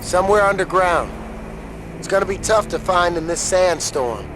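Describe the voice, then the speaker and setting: An actor playing a stern, exasperated military officer with an American accent in a video game, speaking in a scolding, no-nonsense way. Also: male voice, high voice, lively intonation, normal speed, noisy background. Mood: wry, stern. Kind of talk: video game cutscene acting.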